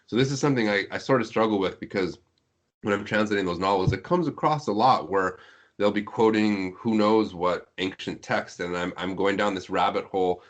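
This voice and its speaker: Low-voiced man in casual podcast chat. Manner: informal, rambling, thinks aloud. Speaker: guest, web fiction translator. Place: indoors at home, on a Skype call.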